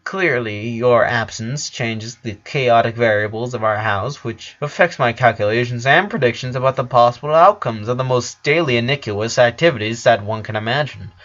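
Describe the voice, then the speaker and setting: A man voicing a precocious little girl scientist with a calm, wordy, clinical delivery, on a dull recording. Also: medium pitch, normal speed, few pauses, natural voice. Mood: formal, reserved.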